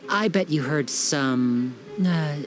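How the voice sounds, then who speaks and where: Young stage magician, polite and smooth, lively lilt, game music behind. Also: medium pitch, takes it slowly. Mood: gentle. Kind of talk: game cutscene dub. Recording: game score underneath.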